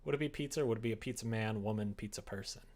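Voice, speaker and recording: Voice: medium pitch, rapid-fire, darkish tone. Casual livestreamer chatting while writing. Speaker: streamer writing a visual novel. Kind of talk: casual livestream chat while writing, murmuring draft lines.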